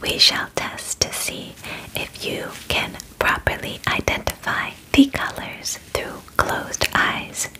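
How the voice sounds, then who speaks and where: A woman in her twenties playing a calm, clinical alien examiner in an ASMR roleplay, speaking formally and methodically close to the microphone. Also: low-quality recording. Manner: slow, formal, gently methodical, precise wording. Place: small bedroom, indoors. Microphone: close microphone in front of camera.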